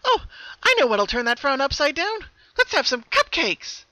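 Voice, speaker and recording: Casting: hyper-cheerful girl cartoon pony, very high and squeaky, loud, bright, giggly, sing-song. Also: big pitch swings, moderate pace, dry recording, full character voice. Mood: chirpy, teasing. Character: relentlessly cheerful, bubbly, bouncy pink female cartoon pony.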